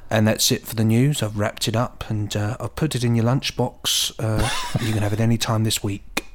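A man's voice: on the low side, fairly even intonation, normal speed, slight background noise. A British man co-hosting a podcast with casual, whimsical banter that wanders off on tangents. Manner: jokey, whimsical, digressive. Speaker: podcast host. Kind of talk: casual comic banter and news reading.

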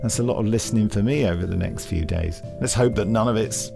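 Male podcast host, lowish voice, jokey and digressive, clear music bed behind. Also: quick pace. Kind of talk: casual rambling chat.

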